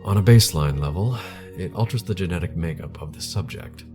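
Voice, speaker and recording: An actor playing a grave, formal scientist in an audio drama, speaking in a measured, deliberate way. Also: male voice, low voice, expressive, over music. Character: grave, measured male scientist heading a bioengineering department. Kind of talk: audio drama acting, interview.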